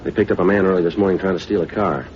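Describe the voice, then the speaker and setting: An actor playing a terse, patient, businesslike police detective, polite and deadpan, in a 1950s radio drama. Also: male voice, sits low, racing, natural voice. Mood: brisk. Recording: dull vintage radio recording.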